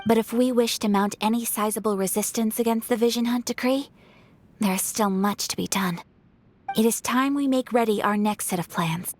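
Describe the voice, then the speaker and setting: A woman's voice: medium pitch, a little hiss or hum, lightly acted. A woman playing a composed, dutiful young noblewoman in an anime-style video game, speaking formally. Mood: resolute. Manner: formal, measured wording. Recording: faint music behind the voice.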